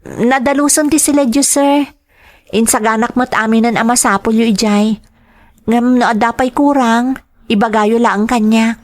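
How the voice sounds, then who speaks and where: A woman's voice: on the high side, steady tone, normal speed, lightly acted. A woman playing a meek, respectful young Filipina domestic helper in an audio drama, with an Ilocano accent. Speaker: narrator and lead actor. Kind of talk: dramatized audio story, acted dialogue and first-person narration.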